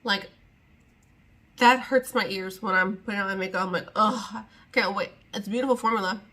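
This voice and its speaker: A woman's voice: mid-range voice, fairly quick, fairly bright tone. A young woman chatting casually to camera at home, rambling and exasperated as she talks through her makeup. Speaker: beauty vlogger. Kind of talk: casual sit-down chat to camera.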